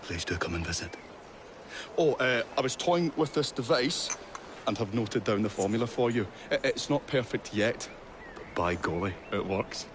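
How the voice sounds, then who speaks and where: A man's voice: medium pitch, expressive, normal speed, long reverb tail, background noise, close to an everyday voice. A man voicing a flustered, fussy, polite Victorian inventor who stammers in a game cutscene. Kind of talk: video game cutscene acting.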